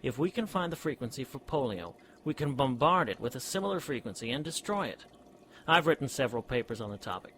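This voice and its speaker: A man's voice: mid-range voice, very animated, quick pace, a bit bright, slight background noise. An actor playing an eager, eccentric scientist in a period radio drama, precise and earnest as he lectures. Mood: enthusiastic. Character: eager eccentric male scientist, brother of a detective.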